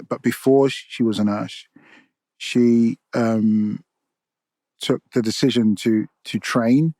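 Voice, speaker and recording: A man speaking as a podcast guest in an earnest, thoughtful way, often restarting phrases and repeating words mid-thought. His voice sits in a middle pitch and is recorded close and dry. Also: dark tone, dry recording. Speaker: guest, poet and storyteller. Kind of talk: casual podcast interview.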